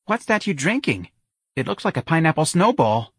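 A man's voice: a little high, sing-song, normal speed, slightly rough, no room sound, clean studio sound, voice pushed up, exaggerated voice. Man doing a tipsy, folksy older mother. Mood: coaxing. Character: tipsy, chatty mother of a grown son.

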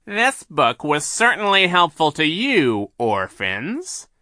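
Man voicing cruel gloating villain, raised pitch, slow menacing threats. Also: wildly swooping pitch, bright, forceful tone, dry recording, studio quality, full character voice.

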